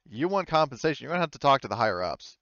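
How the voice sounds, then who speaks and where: A man's voice: medium pitch, very animated, rapid-fire, fairly bright tone, dry recording, dull audio, pitched above the performer's own voice. A man voicing an unhelpful, defensive female dock worker in a tabletop game, with a dismissive manner.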